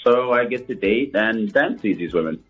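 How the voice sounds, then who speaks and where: A man's voice: fairly low pitch, animated, normal speed, a bit bright, slightly rough, faint music behind. A man chatting casually on a phone call in a matter-of-fact, unbothered manner, over a lo-fi line.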